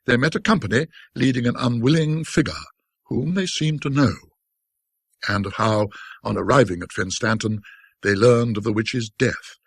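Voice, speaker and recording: A man narrating classic ghost stories in a theatrical, old-fashioned literary style, with a wry, scholarly, self-deprecating manner and dramatic pauses. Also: mid-range voice, breathy edge, dry recording, clean studio sound.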